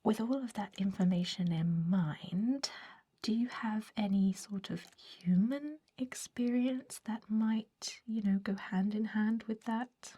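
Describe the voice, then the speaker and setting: A woman's voice: medium pitch, slow, warm tone, husky. A woman playing a centuries-old, fussy vampire recruiter in an ASMR roleplay, whispering with a hesitant, politely dismissive manner. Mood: discouraging, matter-of-fact.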